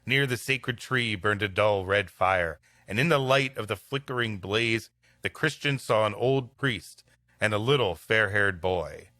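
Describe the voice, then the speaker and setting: Male story reader, podcast host, expressive, comic banter, bright voice, dry recording, faint music. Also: fairly low pitch, a little slow, no echo.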